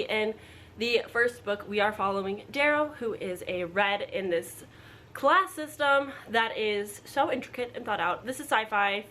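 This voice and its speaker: Woman, mid-pitched voice, casual book vlog, informal rambling delivery, self-interrupting, faint household noise behind. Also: a bit bright. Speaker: book vlogger announcing a readalong. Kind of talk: casual chatty book talk to camera. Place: at home indoors. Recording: background room noise, household appliance.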